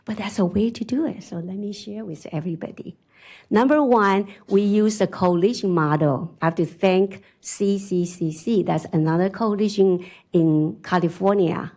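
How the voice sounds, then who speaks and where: A middle-aged woman with a strong Chinese accent giving an animated conference talk, often laughing and asking "right?". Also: mid-range voice, normal speed. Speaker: conference speaker. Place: conference hall.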